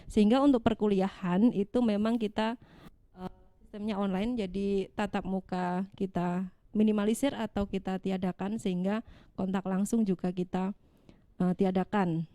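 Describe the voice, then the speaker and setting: A woman, a midwifery lecturer calmly explaining to the host with light jokes. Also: mid-range voice, normal speed, dry recording. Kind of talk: explaining on a talk show.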